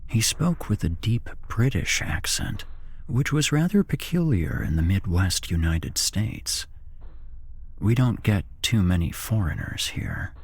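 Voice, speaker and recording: Very breathy man whispering horror narration. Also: low-pitched. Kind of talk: whispered ASMR scripted narration.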